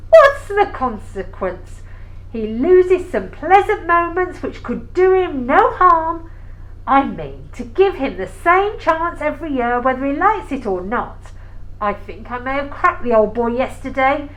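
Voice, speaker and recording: Jovial young nephew played by a woman, high, very lively voice, good-natured audio-play theatrics. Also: rough recording. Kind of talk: amateur audio play acting.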